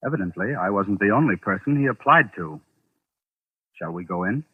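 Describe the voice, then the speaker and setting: An actor playing a shrewd, knowing investigator in a 1940s radio suspense drama, deliberate and authoritative. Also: male voice, fairly low pitch, lively intonation, slightly rough. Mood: grim, knowing. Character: shrewd, commanding man investigating a sinister mystery. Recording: muffled old radio drama recording, phone-like.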